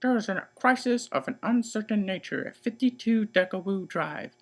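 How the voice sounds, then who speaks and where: A man's voice: high voice, expressive, normal speed, voice pushed up. A man voicing a stern, opinionated older sister in a children's storybook, haughty and scolding. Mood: urgent, imperious.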